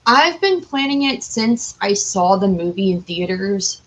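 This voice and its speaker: Female podcast guest, mid-pitched voice, chatty and candid, informal, unscripted delivery. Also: very animated, a little slow, low-quality recording. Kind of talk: casual interview chat.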